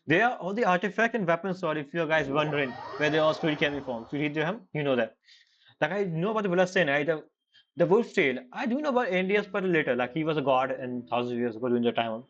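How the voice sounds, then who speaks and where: Highish-voiced casual male game streamer. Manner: slangy, informal. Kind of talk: casual let's play commentary. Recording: slightly dull, game music underneath.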